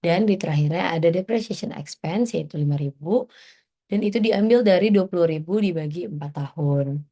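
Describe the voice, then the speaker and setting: A young adult woman with a fairly low, soft-edged and mellow voice. She presents like a student walking classmates through material, informal and step by step, in a conversational tone. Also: a little fast.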